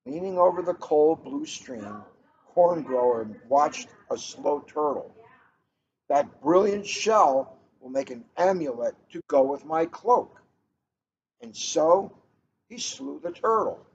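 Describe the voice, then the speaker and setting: Slow-paced man reading aloud, muffled recording. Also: fairly high pitch, animated. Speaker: police officer reading a picture book aloud. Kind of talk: casual chat and storybook read-aloud. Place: coffee shop, indoors. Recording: muffled, noisy room recording.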